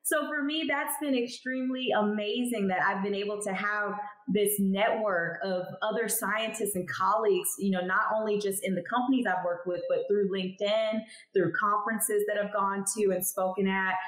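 A candid, emphatic woman in her thirties talks through her career as an interview guest. Her voice sits at a middle pitch and carries the roominess of a home room heard over a video call. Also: fairly even intonation, normal speed, echoey room, rough recording. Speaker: guest, cannabis lab chemist. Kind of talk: casual interview talk. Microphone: headphones on video call.

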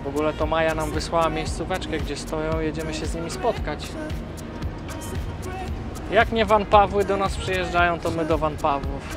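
A man in his forties chats to his audience while driving and cooking in a camper van. His voice is slightly high, captured close on a handheld camera, with music running beneath. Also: fairly even intonation, normal speed. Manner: chatty, addresses viewers directly. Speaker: vlogger. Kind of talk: casual travel vlog. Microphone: handheld camera at arm's length.

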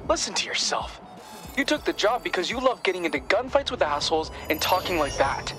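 A man's voice: high voice, sing-song, fairly quick, a bit bright. An actor playing a smart young male student in a video game, exasperated, sarcastic and scolding. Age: young adult. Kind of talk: video game cutscene acting. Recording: music behind the voice.

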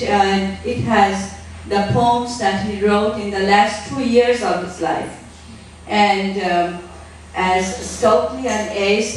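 Woman, middle-aged, poetry reader, slow measured delivery, very echoey, distant from the recorder. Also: mid-range voice, slight background noise. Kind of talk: introducing and reading spoken-word poetry. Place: small theater stage, indoors. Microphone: handheld microphone, recorded by a distant camera. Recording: very echoey room, picked up from afar.

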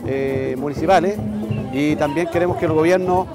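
A middle-aged Chilean man giving a hesitant interview statement outdoors, pausing often. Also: a little high, a loud crowd. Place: outdoors at a street rally. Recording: loud music behind the voice.

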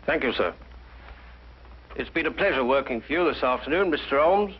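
An actor playing a polite, curious police sergeant in a 1950s mystery drama. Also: male voice, medium pitch, lively intonation, moderate pace, breathy edge. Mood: deferential, friendly. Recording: dull, faintly noisy 1950s soundtrack.

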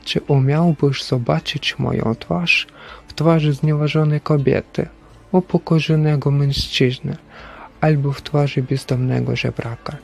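A man playing a stern, solemn Christ in a religious drama, deliberate and questioning like a preacher. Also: fairly high pitch, fairly even intonation, gravelly, a little mellow, faint music behind. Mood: grave, reproachful. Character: stern, solemn Christ speaking from a broken figurine. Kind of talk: dramatic religious stage-play acting.

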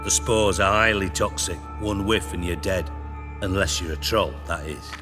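A man's voice: low-pitched, normal speed, over music, lightly acted. An actor playing an irritable, self-important male crime boss in a video game, sardonic, clipped and foul-mouthed. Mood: dry, irritated. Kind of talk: video game dialogue acting.

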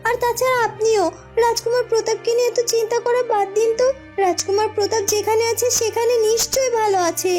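A gentle young cartoon princess, acted by a woman, reassuring and respectful, speaks in an extremely high, soft voice. Light music runs behind her. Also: fairly even intonation, fast. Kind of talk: cartoon fairy-tale voice acting.

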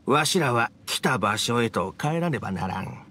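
A man's voice: mid-range voice, expressive, moderate pace, sharp tone, a little hiss or hum. A man voicing a jovial, theatrical old yokai spirit in an anime game, with quaint, hearty old-man speech. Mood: wistful. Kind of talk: anime video game voice acting.

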